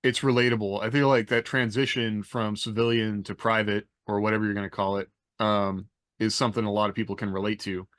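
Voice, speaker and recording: A man's voice: lowish voice, little pitch movement, brisk, fairly bright tone, dry recording, studio quality. A middle-aged man hosting a podcast in a casual panel style. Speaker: podcast host. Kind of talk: casual panel talk with rehearsed introductions.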